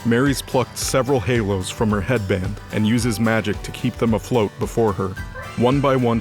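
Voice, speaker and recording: Lowish-voiced man narrating a story.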